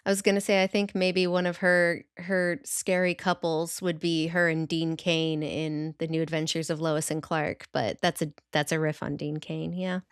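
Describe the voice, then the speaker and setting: A woman with a clear, smooth voice in a dry, close recording banters as a podcast host. She is playful and gushing, stretching out her exclamations in casual conversation. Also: sits low, flat delivery, moderate pace, hardly any pauses, no room sound.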